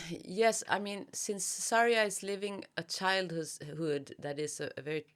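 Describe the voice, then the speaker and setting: A woman with a notably low voice speaks very slowly in a literary interview. She is reflective and hesitant, often pausing to search for the right word as she answers as a guest author. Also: steady tone.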